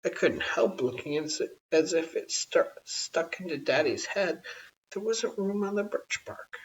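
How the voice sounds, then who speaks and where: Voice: medium pitch, expressive, measured pace, far from the mic, muffled recording, a little hiss or hum, voice pushed up, lightly acted. An adult storyteller voicing a small, outspoken girl, innocent and indignant, in storybook dialogue. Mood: earnest, defensive.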